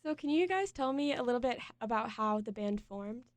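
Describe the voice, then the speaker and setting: A woman's voice: a little high, lively intonation, fairly quick, dry recording. A young woman hosting a college radio interview, asking friendly, plain questions.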